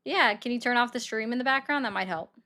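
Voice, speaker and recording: Woman, livestream host, brisk, sardonic, bright tone. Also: on the high side. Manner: brisk, sardonic, cuts callers off. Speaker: livestream host taking calls. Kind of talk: political call-in livestream.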